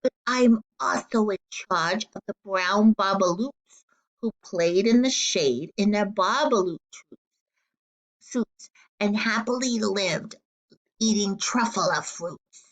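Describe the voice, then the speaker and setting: A woman's voice: medium pitch, slow pace, low-quality recording. Woman reading as a grumpy, scolding forest creature. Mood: indignant. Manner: scolding, snappish, pleading. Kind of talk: children's storybook read-aloud.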